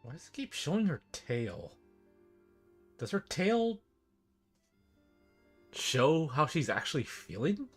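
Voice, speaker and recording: A game streamer with a lively, varied voice, commenting casually over game music. The delivery is musing, often questioning aloud. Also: medium pitch, slow pace, long pauses, breathy edge.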